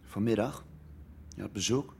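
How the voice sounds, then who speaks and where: An actor playing an awkward, jealous young man in a Dutch radio drama, halting and evasive. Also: on the low side, gravelly, warm tone, a little hiss or hum. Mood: sulky, evasive.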